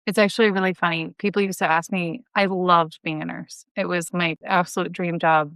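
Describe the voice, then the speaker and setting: A woman's voice: fairly low pitch, little pitch movement, brisk, fairly bright tone, clear, resonant tone, no room sound. A woman chatting informally and upbeat as a podcast guest. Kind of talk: casual chat.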